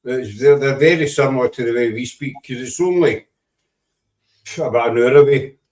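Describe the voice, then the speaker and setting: Scottish man, casual chat, halting and repetitive, distant mic, mid-pitched. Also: a little mellow. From Scotland. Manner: halting, repeats words, dry humor. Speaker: paranormal investigator.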